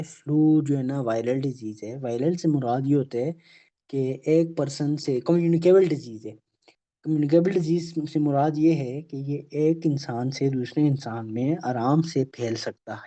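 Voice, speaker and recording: A man giving an online health awareness lecture, halting and full of filler phrases, checking in with "okay?" after almost every point. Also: fairly high pitch, mellow. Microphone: video call. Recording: dull lo-fi video call audio.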